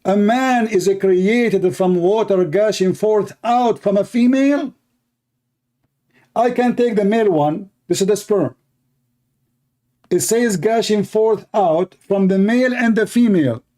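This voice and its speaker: A man's voice: high-pitched, unhurried, warm tone. A man whose first language is Arabic, hosting a live online debate in English with mocking, sarcastic emphasis. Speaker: debate show host.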